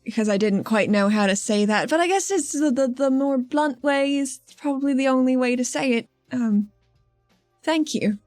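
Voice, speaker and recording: Woman playing an earnest, high-voiced adventurer. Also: moderate pace, a little mellow, no echo, clean studio sound, soft background music, lightly acted. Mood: awkwardly grateful. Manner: hesitant, earnest.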